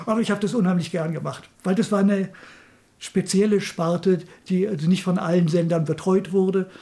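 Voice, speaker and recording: A man's voice: on the high side, gravelly, warm tone. An older man reminiscing spontaneously in an interview as a radio drama director.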